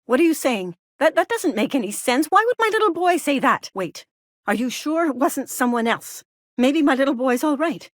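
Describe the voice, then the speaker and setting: Middle-aged frontier mother, very high voice, doting and overprotective, melodramatic, folksy, dry close recording, video game dialogue. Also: no room sound. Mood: distraught.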